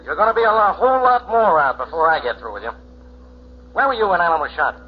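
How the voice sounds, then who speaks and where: An actor playing a gruff, sarcastic police inspector who barks questions, in 1940s radio drama style. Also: male voice, sits high, very animated, quick pace, exaggerated voice. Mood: sarcastic, bullying. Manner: barking, interrogating. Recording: dull, hissy 1940s radio recording.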